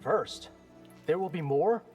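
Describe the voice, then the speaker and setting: A wry young male fighter from a video game. He has a fairly high voice and a casual, cocky, quippy delivery. Music is clearly audible behind him. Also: slow, a little mellow. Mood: casual, dry.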